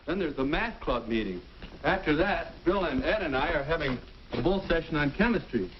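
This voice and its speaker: A man's voice: a little high, lively intonation, normal speed, lightly acted. A young actor playing an upbeat teenage boy in an old educational film, eager and wholesome. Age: young adult. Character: upbeat teenage boy making life plans. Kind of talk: 1950s educational film acting. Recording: muffled old film soundtrack with hiss.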